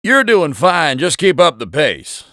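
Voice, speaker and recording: Wisecracking american spy buddy guy, bright voice, kinda high, folksy, video game. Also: very animated, unhurried, no echo, studio quality, exaggerated voice. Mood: breezy. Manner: casual, folksy, joking. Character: breezy wisecracking American male intelligence agent ally. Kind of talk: video game character dialogue.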